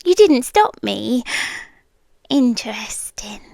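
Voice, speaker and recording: A British woman playing a shy, obsessive yandere girlfriend in an intimate ASMR roleplay, soft, breathy and hesitant, often slipping into a whisper. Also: on the high side, big pitch swings, dry recording, exaggerated voice. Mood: dreamy, obsessive. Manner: slow, hesitant, confiding. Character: shy, obsessive young yandere girlfriend, sweetly intense and nervous.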